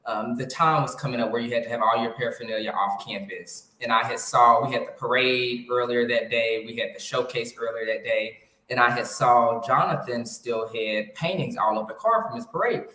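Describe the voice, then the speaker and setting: A man in his thirties, a guest telling a story in a relaxed, chatty way. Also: medium pitch, a little fast, hardly any pauses. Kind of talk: casual interview storytelling. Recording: echoey room.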